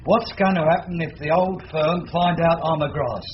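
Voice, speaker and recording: An actor playing a shifty small-time crook turned police informer in a radio drama, slangy, defensive and wheedling. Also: male voice, fairly high pitch, moderate pace, lightly acted. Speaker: witness. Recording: noisy, muffled radio drama recording.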